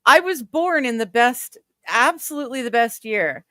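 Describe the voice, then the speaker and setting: A woman's voice: on the high side, expressive, normal speed, sharp tone, dry recording, studio quality. A woman in her forties chatting casually with friends on a stream, laughing often and poking fun at herself. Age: 40s. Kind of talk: casual chat.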